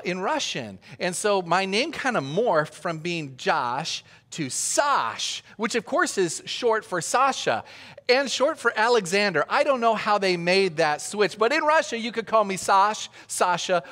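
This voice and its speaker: A pastor preaches in a loose, joking way, often turning questions back to the congregation. His voice sits high for a man and swings up and down with a lot of life. The sound rings with the long reverb of a large church hall. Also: a bit bright. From Austria. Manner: chatty and joking, asks the audience questions. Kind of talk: conversational sermon.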